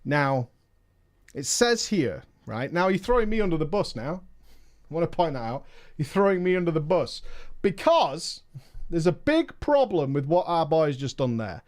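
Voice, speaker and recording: A man's voice: on the high side, big pitch swings, moderate pace. A man streamer reading a viewer's story aloud and breaking in with emphatic, mocking asides.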